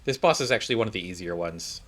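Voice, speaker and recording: A man's voice: low-pitched, lively intonation, quick pace, fairly bright tone. A man giving casual, off-the-cuff commentary on a game, rambling and thinking aloud as he plays. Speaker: gaming video creator.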